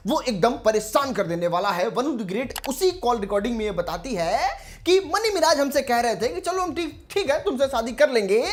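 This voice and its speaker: Lady, highish voice, gossipy explainer to viewers, teasing and over dramatic. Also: sing-song, moderate pace, slight background noise. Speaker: commentary channel host. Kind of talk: informal gossip commentary to viewers.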